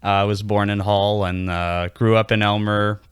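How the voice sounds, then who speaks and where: A man's voice: fairly low pitch, flat delivery, moderate pace, clear, resonant tone, dry recording, clean studio sound. A man in his thirties from Quebec, a native French speaker, chatting easily as a podcast guest and laughing often. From Quebec, Canada. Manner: easygoing, laughs often, lots of fillers. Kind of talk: casual interview chat.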